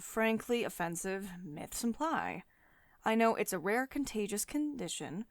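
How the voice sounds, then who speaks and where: A woman's voice: medium pitch, dry recording. Woman doing a bossy lady ceo for asmr roleplay, strict n sardonic, really swoopy voice, dry close sound. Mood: firm, instructive. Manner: domineering, sardonic, clipped reprimands with sugary pet names. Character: stern, commanding female tech CEO, condescending yet caring.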